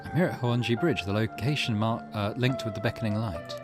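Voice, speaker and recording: A man voicing a wary, excitable young hero of a visual novel, full of questions. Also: fairly low pitch, brisk, close to an everyday voice. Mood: musing. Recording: music behind the voice.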